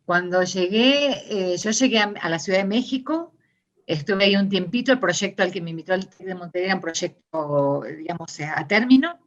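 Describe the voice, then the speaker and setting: A middle-aged Argentine woman with an Argentine Spanish accent, answering interview questions in a thoughtful, searching way with many pauses and restarts. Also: on the low side, expressive, muffled recording. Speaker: interviewee, discourse analysis scholar. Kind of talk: reflective conversational interview.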